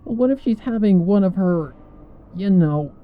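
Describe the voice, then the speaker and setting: A man's voice: high-pitched, expressive, normal speed, narrow, tinny audio, pitched above the performer's own voice. A man voicing a brash, impatient young female pegasus pony in a fan fiction reading, blunt and pushy. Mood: hushed concern.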